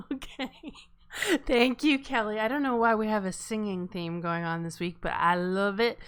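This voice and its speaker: A woman's voice: medium pitch, fairly even intonation, normal speed, fairly bright tone, clear, resonant tone. A woman casually moderating a live feedback panel as its host. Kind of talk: casual live stream hosting.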